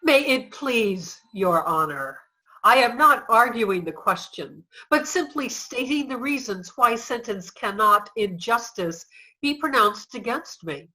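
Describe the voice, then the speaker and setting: Casting: woman playing a dignified suffragist defendant, forceful, higher voice, oratorical stage delivery. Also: expressive, low-quality recording. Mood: indignant, firm. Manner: oratorical, emphatic, deliberate. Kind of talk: reader's theater courtroom speech.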